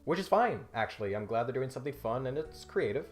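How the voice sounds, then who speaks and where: A man's voice: medium pitch, big pitch swings, fast talker. A man delivering scripted comedic commentary in an emphatic, mock-serious way. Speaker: video essay host. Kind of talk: scripted comedic commentary with dramatic read-aloud passages. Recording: roomy echo, music behind.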